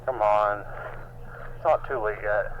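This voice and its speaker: Halting, reassuring man acting as a guy, muffled noisy audio. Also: lowish voice, fairly even intonation, lightly acted. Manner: casual, halting, keeps reassuring. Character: young man leading a companion through dark woods, reassuring. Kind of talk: naturalistic found-footage horror acting.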